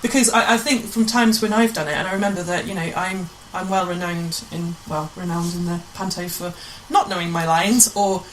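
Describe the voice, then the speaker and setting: A woman's voice: on the low side, fairly even intonation, fairly quick, few pauses, slight background noise, low-quality recording. A woman chatting informally as an interview guest, telling anecdotes in a self-deprecating way. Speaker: amateur pantomime director, interview guest.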